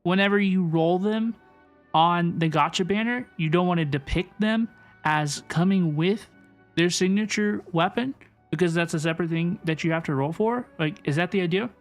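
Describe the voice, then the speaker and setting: Animated woman livestreamer over game music. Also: lowish voice, moderate pace. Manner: animated, repeats questions, reads chat aloud. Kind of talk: casual gaming livestream reaction, chatting with viewers.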